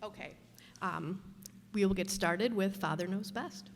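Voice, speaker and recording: A woman hosting a live show, introducing it to an audience in a chatty, unhurried way. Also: mid-range voice. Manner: chatty, unhurried, friendly asides. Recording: long hall reverb.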